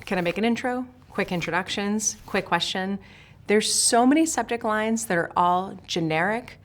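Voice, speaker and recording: Woman sales coach from Switzerland; direct, emphatic instructional delivery to camera, middle pitch. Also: normal speed. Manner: emphatic, direct, addresses viewer. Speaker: sales trainer. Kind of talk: scripted instructional talk to camera.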